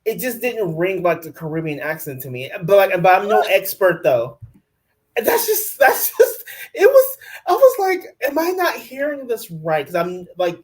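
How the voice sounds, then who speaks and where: A man co-hosting a casual recap show, chatty and quick to hedge his opinions. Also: high-pitched, wildly swooping pitch. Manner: chatty, hedging. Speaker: podcast co-host.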